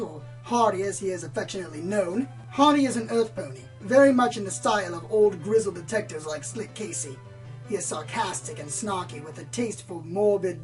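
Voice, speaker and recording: A woman's voice: mid-range voice, darkish tone, lightly acted, low-quality recording. A woman playing a bookish, slightly prim pony book reviewer in a radio play, speaking formally and articulately. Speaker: on-air book presenter.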